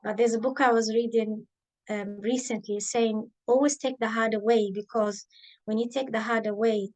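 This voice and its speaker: A woman in her thirties, raised in the Emirates, telling her career story in an interview, thoughtful and pausing with many ums. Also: a little high, steady tone, a little mellow, poor audio. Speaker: guest, biomedical scientist and author. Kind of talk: interview, reflective personal story.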